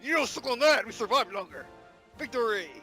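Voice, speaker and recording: A man with a high voice does let's play commentary on a strategy game, excitable and jokey, cheering and talking back at the screen. Game music plays clearly under his voice. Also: fairly quick. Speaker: gamer playing a strategy game.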